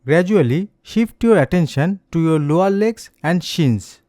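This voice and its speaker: A man guides a relaxation meditation in a gentle, soothing way. His voice is soft-edged and mellow, a little high for a man, with pitch that rises and falls freely through each unhurried instruction. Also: animated, no room sound, clean studio sound. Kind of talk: slow guided meditation, scripted narration.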